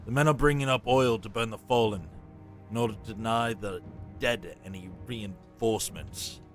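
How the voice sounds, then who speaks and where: A man's voice: on the low side, normal speed, fairly bright tone, faint music behind, close to an everyday voice. A man playing a loyal, seasoned army sergeant in a fantasy audio drama, stoic and respectful, with clipped military speech. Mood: dutiful, concerned.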